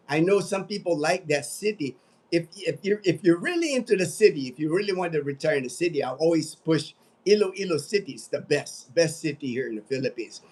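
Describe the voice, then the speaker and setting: A man's voice: high-pitched, a little mellow, husky. A man in his late fifties with an American accent, chatting casually and ramblingly as a livestream host, often repeating his phrases. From United States. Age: late 50s. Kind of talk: casual livestream chat, reading out viewer comments.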